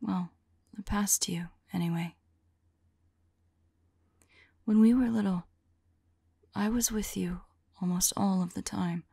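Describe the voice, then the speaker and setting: A woman playing a tender, sorrowful vampire lover in an ASMR roleplay, speaking softly and intimately in a breathy, hesitant whisper. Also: mid-range voice, fairly even intonation, a little slow, dry recording. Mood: wistful, tender. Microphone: close microphone. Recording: close, dry microphone.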